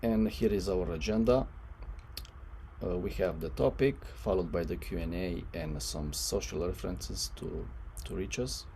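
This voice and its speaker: Male host, formal and halting, low creaky voice, noisy recording. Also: mellow. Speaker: webinar host. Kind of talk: reading out a webinar introduction. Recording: noisy line with background ambience.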